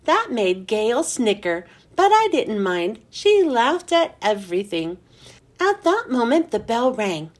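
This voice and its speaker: A woman reads a children's chapter book aloud with a high, bright voice. Her pitch swoops up and down in an expressive, sing-song storytelling manner. She keeps a steady read-aloud style. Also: takes it slowly.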